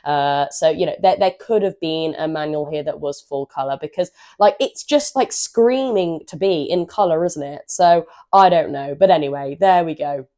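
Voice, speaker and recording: A woman hosting a gaming channel, hoarse from a cold, chatting in an upbeat, rambling way and stumbling over her words now and then. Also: low voice, normal speed, hardly any pauses, mellow. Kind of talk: casual chatty walkthrough of a game manual.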